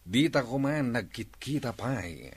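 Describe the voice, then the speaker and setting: Blunt young office worker, mid-pitched male voice, radio drama dialogue. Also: expressive, a little slow, husky, no echo. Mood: scornful. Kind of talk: Ilocano radio drama acting.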